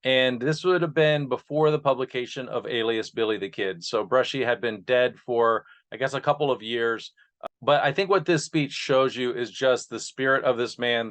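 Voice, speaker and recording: A man's voice: mid-range voice, a bit bright. A man speaking earnestly as host and narrator, presenting material to his listeners. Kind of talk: informal introduction, then reading a speech aloud.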